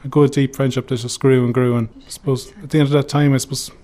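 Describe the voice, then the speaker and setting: Irish man, guest, quick, jokey storyteller. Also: mid-range voice. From Ireland. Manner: jokey, animated anecdote telling. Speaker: interview guest. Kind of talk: casual storytelling.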